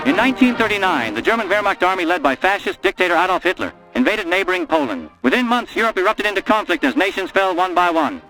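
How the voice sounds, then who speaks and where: A man's voice: high-pitched, wildly swooping pitch, quick pace, creaky, few pauses, over music, heavily acted, rough recording. A man playing a grave, urgent wartime newsreel announcer in an audio drama, narrating emphatically in a 1940s style. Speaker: prologue narrator.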